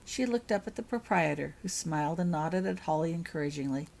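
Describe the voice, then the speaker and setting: A woman reading her own fiction aloud as an expressive storyteller. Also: sits low, brisk, gravelly. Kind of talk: audiobook-style narration of fan fiction.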